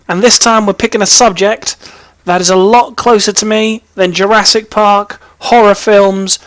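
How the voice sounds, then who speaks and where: A man's voice: sits high, a bit bright, no echo. A young man with an English accent, chatting casually about himself as a vlog host in a rambling, playful, self-interrupting way. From British, raised in army family in Germany, Hong Kong and England. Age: young adult. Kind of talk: casual chatty personal storytelling to camera.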